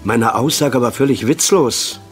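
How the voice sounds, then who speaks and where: An actor playing a sullen, defensive husband in a radio play, speaking curtly. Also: male voice, mid-range voice, a little slow, soft background music, close to an everyday voice. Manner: curt, defensive.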